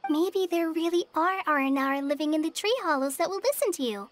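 A woman voicing a kind, earnest young trainee forest ranger in a game, speaking in a gentle, encouraging way. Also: high voice, lively intonation, fairly quick, a little hiss or hum. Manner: gentle, encouraging, soft-spoken. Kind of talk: game cutscene dialogue. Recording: game soundtrack music behind.

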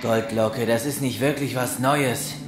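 A man's voice: mid-range voice, fairly even intonation, unhurried, natural voice. A man playing a cynical, flippant, battered test subject in a sci-fi audio drama. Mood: sardonic. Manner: sardonic, flippant, drawling. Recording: echoing, with loud music behind.

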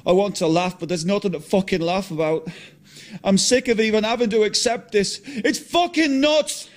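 A man's voice: sits high, steady tone, brisk, voice pushed up, natural voice. A man doing a furious man back from the dead. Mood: shouting anguish. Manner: breaks from composure into shouting rage. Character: grieving, furious man revived from death.

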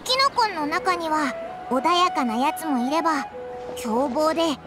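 A woman playing a tiny childlike fairy companion in a Japanese anime game, naive and cheeky, with blunt, boyish, expressive speech. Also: sits high, measured pace, loud music behind the voice. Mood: chatty, considering.

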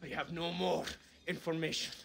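A man's voice: a little high, level tone, normal speed, vocal fry, fairly bright tone, faint music behind. An actor playing a frightened male captive being interrogated in a dramatic video game, pleading and bitter. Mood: bitter, resigned. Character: terrified male captive informant, pleading then bitterly resigned.

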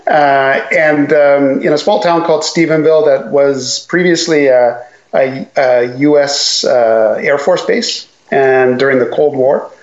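A man from Newfoundland, Canada, tells his story as a podcast guest at an easy pace, pausing often with fillers. His voice sits mid-range, and it reaches the ear through a roomy, somewhat dull recording. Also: medium pitch. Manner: relaxed storytelling with many fillers. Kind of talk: casual interview talk.

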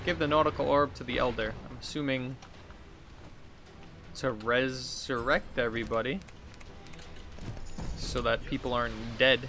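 A man's voice: mid-range voice, steady tone, measured pace, pauses often. A man in his thirties giving casual, rambling game commentary, talking to himself and reading quest text aloud. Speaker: gaming video host. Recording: clear background noise, game ambience.

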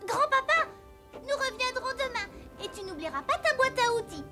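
A woman's voice: very high voice, sing-song, normal speed, faint music behind, exaggerated voice. A woman voicing an eager, innocent, kind-hearted little girl in a French cartoon dub. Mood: coaxing. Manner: bright-eyed, eager, innocent. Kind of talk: French animated series dub.

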